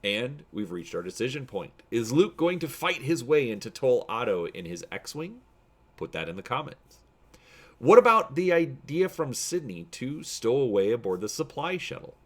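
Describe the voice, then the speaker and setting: A man's voice: mid-range voice, very animated, moderate pace. A man reading an adventure gamebook aloud as narrator and host, in a dramatic storytelling style.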